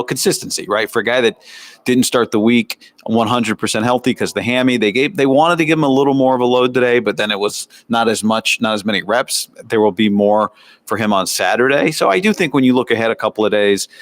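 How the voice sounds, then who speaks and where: A man with an American accent giving conversational, analytical sports commentary as a show host, often posing rhetorical questions and asides. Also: medium pitch. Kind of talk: sports commentary, practice recap.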